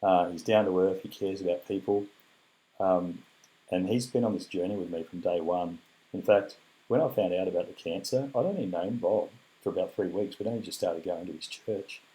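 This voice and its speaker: Scratchy-voiced Australian man, low and mellow. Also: normal speed. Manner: rambling, many ums, earnest thanks. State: weak, recovering from illness. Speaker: cancer patient giving an update. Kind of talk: casual heartfelt video update.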